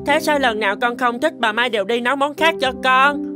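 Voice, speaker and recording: A woman's voice: sits high, a little fast, over music, natural voice. A woman voicing a whiny, demanding, spoiled five-year-old boy in a cartoon. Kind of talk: scripted cartoon dialogue.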